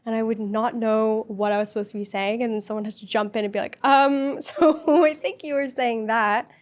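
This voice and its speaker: A woman guest on a podcast, speaking in a soft, gentle voice in an earnest, reflective, casual conversation. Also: fairly high pitch, steady tone, moderate pace. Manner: earnest, reflective chat with frequent laughs.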